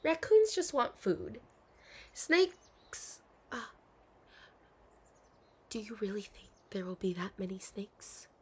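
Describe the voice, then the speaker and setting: An anxious young princess , acted by a woman, with a breathy, husky voice and slow, formal storybook diction. She is dutiful but hesitant, hiding her longing in an intimate audio roleplay. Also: medium pitch, big pitch swings, heavily acted. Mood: forced cheer, nervous.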